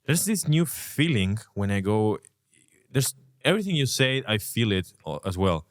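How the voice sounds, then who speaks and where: A man's voice: lowish voice, big pitch swings, moderate pace, no echo, studio quality. A man from Uruguay hosting a podcast, talking in a reflective, rambling way and swearing casually. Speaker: podcast host.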